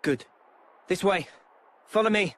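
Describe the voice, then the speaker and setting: A man playing an earnest, resolute young squad leader in a video game, measured and explanatory. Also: high-pitched, fairly bright tone. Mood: focused, directing. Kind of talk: video game cutscene acting.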